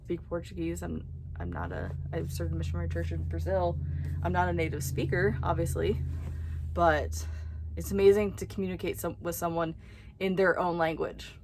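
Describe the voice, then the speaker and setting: Young woman vlogger, chatty and excitable, lowish voice, faint hiss behind. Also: moderate pace. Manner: chatty and excitable, drops to whispered asides. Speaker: book vlogger. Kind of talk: casual book haul chat.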